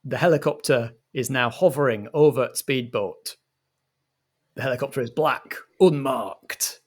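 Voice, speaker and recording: A man narrating a roleplaying game as game master in a measured, deliberate storytelling manner. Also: medium pitch.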